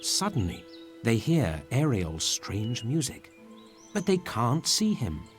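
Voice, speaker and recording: A man's voice: medium pitch, wildly swooping pitch, slow, soft background music. A man narrating a read-along story for beginners.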